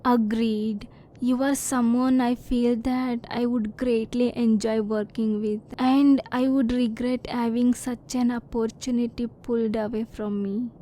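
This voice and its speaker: Slow, restrained alien woman scientist, acted by a woman. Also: fairly high pitch, flat delivery, warm tone, natural voice. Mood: quietly hopeful. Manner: formal, precise, measured, emotionally restrained. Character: curious, reserved female alien scientist, formal and polite. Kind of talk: ASMR roleplay.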